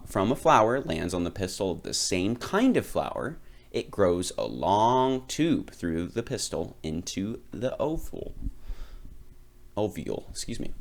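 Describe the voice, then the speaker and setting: A man reading a children's picture book aloud, phrasing it deliberately and with expressive emphasis. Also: sits low, creaky. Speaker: read-aloud reader.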